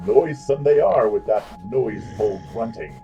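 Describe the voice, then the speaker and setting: A man's voice: mid-range voice, expressive, measured pace, warm tone, dull audio, soft background music. A man playing a pompous, bumbling tavern drunk in a fantasy comedy audio drama, with florid, theatrical mock-archaic speech. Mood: bewildered.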